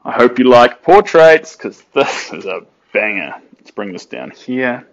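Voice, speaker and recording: A young man, yarning to viewers while he paints. He talks slowly and chattily at a middling pitch. The sound is dull and a little lo-fi. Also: lively intonation, creaky. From New Zealand. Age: late 20s. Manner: chatty and upbeat, sings snatches of songs and talks to viewers. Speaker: artist streaming while painting. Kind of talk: casual live-stream chat while painting.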